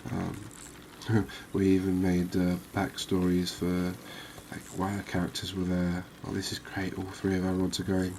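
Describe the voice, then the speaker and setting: A man giving calm, unhurried, soft-spoken ASMR gameplay commentary, musing aloud as he plays. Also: low-pitched, a little hiss or hum. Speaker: gaming video host.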